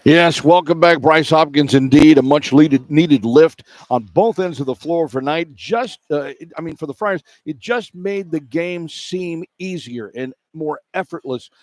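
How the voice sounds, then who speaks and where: Male sports broadcaster, slightly high voice, play-by-play radio commentary, game recap, dry close sound. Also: measured pace, few pauses, a little mellow, no echo.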